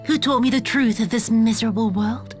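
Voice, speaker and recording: A woman's voice: fairly high pitch, brisk, faint music behind, lightly acted. A woman playing an embittered, vengeful female villain in a video game, with a cold, scornful and theatrical delivery. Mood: bitter fury. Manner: scornful, dramatic, savoring her words. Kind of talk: dramatic video game voice acting.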